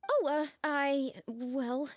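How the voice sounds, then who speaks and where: A woman's voice: sits high, fairly even intonation, very slow, no echo, clean studio sound. A woman playing a starstruck, flustered young journalist in a video game, stammering with enthusiasm. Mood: excited, flustered.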